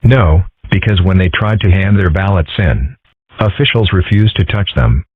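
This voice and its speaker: A man voicing a calm, dry-witted young dragon son with a deadpan, measured delivery in a comic dub. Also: low voice, breathy edge, no echo, narrow, tinny audio. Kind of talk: comic dub character acting.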